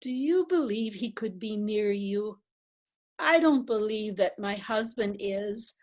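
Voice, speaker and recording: A woman's voice: medium pitch, lively intonation, takes it slowly, a little mellow. A woman reading a widow who doubts her late husband's spirit is near, in a plaintive tone. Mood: doubtful. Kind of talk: readers theatre reading.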